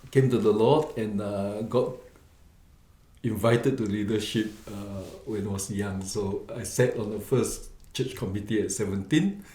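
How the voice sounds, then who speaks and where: A man's voice: lowish voice, fairly even intonation, measured pace, mellow. A man in his sixties from Singapore, a businessman guest, telling his life story humbly with frequent laughs and short answers. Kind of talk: personal life story interview. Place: book-lined studio room. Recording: roomy studio sound.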